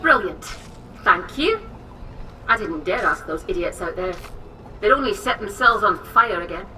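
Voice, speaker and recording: An actress playing an eccentric, overconfident English woman inventor in a game cutscene, theatrical, brisk and condescending. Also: medium pitch, expressive, sharp tone, soft background music. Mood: breezy, teasing. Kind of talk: video game cutscene acting. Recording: distant from mic, faint noise.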